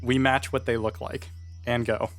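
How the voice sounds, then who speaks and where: A man's voice: mid-range voice, level tone, normal speed, soft background music. A man playing a tabletop game, talking casually with an informal, wry manner. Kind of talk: casual chat.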